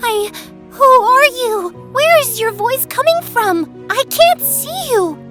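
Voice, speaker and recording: A woman voicing a small, spirited female feather in a storybook tale, high, loud and indignant. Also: expressive, slow pace, heavily acted. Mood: pleading. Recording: children's music behind.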